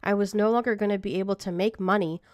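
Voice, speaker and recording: A woman's voice: mid-range voice, fairly even intonation, fast talker, darkish tone. A woman answering questions in a legal deposition in a guarded, clipped manner. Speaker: plaintiff being deposed.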